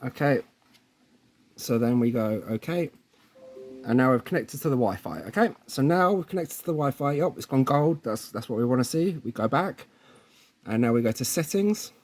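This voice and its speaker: A man's voice: mid-range voice, lively intonation, moderate pace, a little mellow. A man presenting a casual hands-on tech tutorial in an informal way, often checking in with the viewer. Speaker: presenter of installation tutorial videos.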